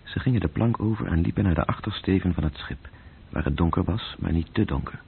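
A man narrating a literary radio drama in terse, measured phrases, with a gravelly, breathy voice. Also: deep voice. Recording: muffled, tinny old radio-play recording.

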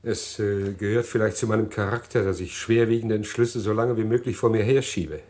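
Actor playing evasive gentleman criminal, lowish male voice, formal, hesitant, self-justifying, radio crime drama. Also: steady tone, fairly quick, natural voice. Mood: troubled, confessing. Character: evasive, well-spoken condemned gentleman confessing his crime. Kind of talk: German radio crime play acting.

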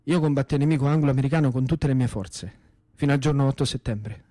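A man's voice: medium pitch, quick pace, mellow, dry recording. Roman man telling stories, very dry sound. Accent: Roman Italian. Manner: theatrical, colloquial, short broken phrases. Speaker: stage actor and storyteller. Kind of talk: dramatic first-person monologue of a wartime veteran's memoir, radio theatre.

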